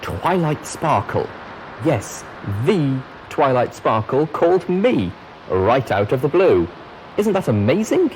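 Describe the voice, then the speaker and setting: A man voicing an excitable, boastful female pony leaving a recorded message, with gushing, theatrical emphasis. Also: on the high side, slow, a little hiss or hum, voice pushed up, rough recording. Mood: excited, boastful. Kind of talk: recorded message in a fan fiction reading.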